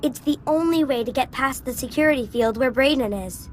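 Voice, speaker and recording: Lady doing a scared little kid in a game, high voice, timid and pleading, faint background noise. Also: fairly quick, lightly acted. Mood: hopeful, pleading. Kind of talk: video game character acting.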